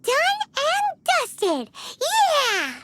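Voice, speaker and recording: A woman voicing a tiny, cheerful, childlike fairy companion in a video game, squeaky, chirpy and eager. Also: huge pitch swings, extremely slow, very noisy, full character voice. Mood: chirpy, excited.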